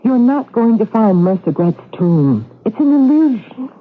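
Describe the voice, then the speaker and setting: Tinny old radio drama, scheming young wife, husky n dramatic, very lively pitch. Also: female voice, a little slow. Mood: anxious pleading. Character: young scheming wife, outwardly tender, secretly cold. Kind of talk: 1970s radio drama acting. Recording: tinny, band-limited old radio recording.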